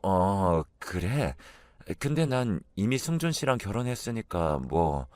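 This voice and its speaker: A man's voice: on the low side, sing-song, measured pace, a little mellow, no room sound, faint music behind. A man as an earnest, restrained wife. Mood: hesitant. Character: earnest, naive married working woman. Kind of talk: dramatised story dialogue.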